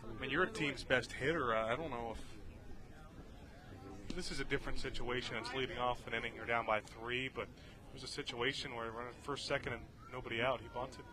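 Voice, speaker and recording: A man's voice: mid-range voice, steady tone, fairly quick, low-quality recording. Male baseball analyst over crowd noise. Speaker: baseball color commentator. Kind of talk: live sports analysis, conversational. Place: outdoor ballpark, beside the field. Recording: outdoor ballpark crowd noise behind.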